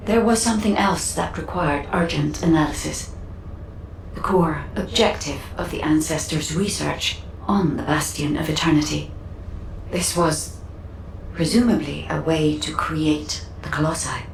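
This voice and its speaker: A woman playing a composed, analytical guide in a sci-fi game, speaking in formal, deliberate, grave exposition. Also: deep voice, vocal fry, a little hiss or hum. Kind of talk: scripted game dialogue, measured exposition. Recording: echoing voice over faint game ambience.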